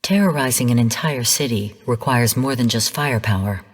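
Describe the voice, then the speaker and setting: Female game AI, low husky voice, long hall reverb, formal, analytical, stern briefing delivery. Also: normal speed. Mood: grave. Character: composed female artificial intelligence mission adviser, analytical, stern. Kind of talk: scripted game narration.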